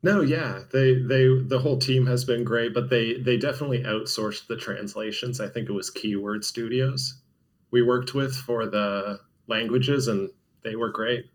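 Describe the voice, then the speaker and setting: A man's voice: mid-range voice, moderate pace. A man who is a game developer, answering interview questions in an easygoing, diplomatic way. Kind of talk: casual interview chat.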